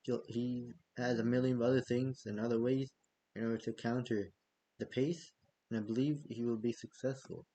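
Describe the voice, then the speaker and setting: A man's voice: medium pitch, fairly even intonation, moderate pace, dark tone, rough recording. A man hosting a football fan vlog, chatting loosely with frequent fillers and self-corrections. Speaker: football fan channel host. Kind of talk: casual news vlog.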